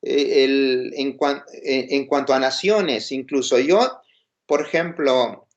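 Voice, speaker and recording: A man's voice: mid-range voice, takes it slowly. A man with a Peruvian Spanish accent giving an informal, explanatory lecture, posing questions and checking in with his listeners. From Peru. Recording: dull, lo-fi sound.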